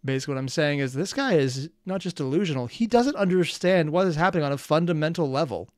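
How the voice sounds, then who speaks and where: A man's voice: fairly high pitch, lively intonation, fast, dry recording, clean studio sound. A man hosting a reaction video, giving off-the-cuff, sarcastic and exasperated commentary full of rambling asides.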